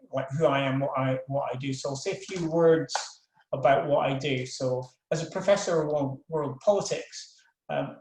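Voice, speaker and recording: An older Scottish man speaks over a video call with a fairly high voice, his sound bouncing around a room that rings. He talks frankly and a little self-deprecatingly, stopping for ums and restarting his sentences. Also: a little mellow, echoey room, rough recording. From Scotland. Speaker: professor of world politics. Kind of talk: webinar talk.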